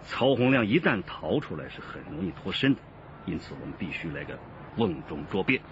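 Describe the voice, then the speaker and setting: An actor playing a steady, commanding, patient male police detective chief in a Chinese radio crime drama. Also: low-pitched, a little fast, noisy background. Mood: grave briefing. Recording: muffled band-limited audio with music behind.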